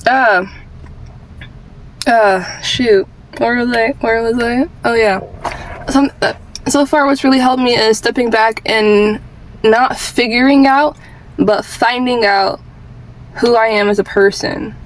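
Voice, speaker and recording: A young woman around twenty shares personal advice as a vlogger. Her voice sits a little high. She talks informally and earnestly, wandering through her thoughts and repeating herself for emphasis. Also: steady tone, slow pace, long pauses, a little hiss or hum, rough recording. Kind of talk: candid personal advice monologue to camera.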